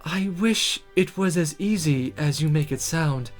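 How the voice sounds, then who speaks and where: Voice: mid-range voice, animated, a little slow, faint music behind, close to an everyday voice. A game streamer voicing a stern female mage teacher from a visual novel, subdued and resigned. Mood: dejected.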